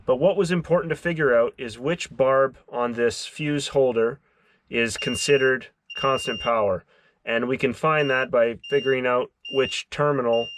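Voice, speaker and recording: A man's voice: medium pitch, noisy background. Man talking through a hands-on DIY tutorial. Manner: explains step by step, thinking aloud. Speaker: DIY car electronics video host.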